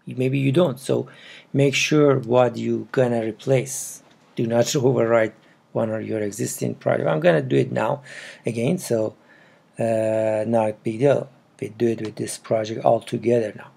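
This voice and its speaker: A man with a non-native English accent narrates a casual step-by-step tutorial, with halting, broken phrasing. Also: medium pitch, steady tone, vocal fry, a little mellow, slightly rough. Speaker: programming tutorial presenter. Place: indoors at a computer.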